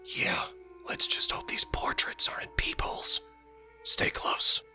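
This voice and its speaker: A man's voice: takes it slowly, pushed and bright, in a whisper, faint music behind. An actor playing an anxious, sweary male killer in a horror audio drama, with jumpy, exclamatory delivery. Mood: wary, uneasy.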